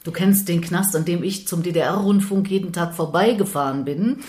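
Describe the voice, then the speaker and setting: A woman's voice: on the low side, moderate pace, darkish tone. A woman in her sixties from Thuringia, hosting a podcast interview with curious, easy questions. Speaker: podcast host. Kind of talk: conversational interview.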